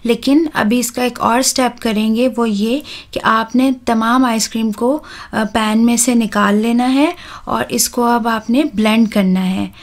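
Calm woman with a higher voice, teaching a recipe. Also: unhurried. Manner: calm, instructive, with soft "uh" fillers. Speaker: cooking channel host. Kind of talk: step-by-step recipe voice-over.

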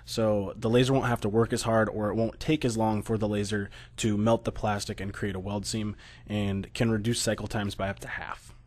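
A man's voice: on the low side, flat delivery, normal speed, a little mellow. An American man narrating a technical slideshow, explaining steadily with occasional fillers. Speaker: product presenter.

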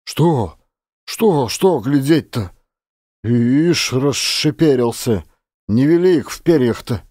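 A male reader plays a boozy village thief in an audio play. He grumbles and mutters with sullen mockery, his pitch swinging widely. The recording is dry and close. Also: animated, takes it slowly, dark tone, no echo. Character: gruff drunken thieving peasant man, sullen, mocking. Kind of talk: one-man audio play.

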